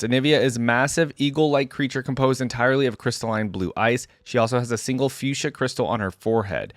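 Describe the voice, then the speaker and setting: A man's voice: mid-range voice, no echo, clean studio sound. A man hosting a reaction video, reading lore aloud and breaking off into casual asides, often checking his points with "right?".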